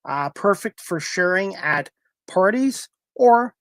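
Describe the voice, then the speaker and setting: Guy hosting a talk show, highish voice, close dry sound, reads out sponsor plugs and trips a bit. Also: lively intonation, slow, fairly bright tone, no room sound. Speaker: talk show host reading sponsor spots. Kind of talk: live sponsor read, conversational.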